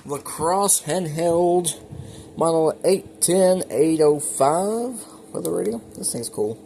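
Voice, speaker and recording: A man's voice: on the high side, lively intonation, takes it slowly, gravelly, dark tone. A man giving a casual, folksy show-and-tell narration with muttered asides. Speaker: hobbyist showing a collection. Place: indoors at home. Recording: noisy home recording with radio chatter. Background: weather radios playing, background noise.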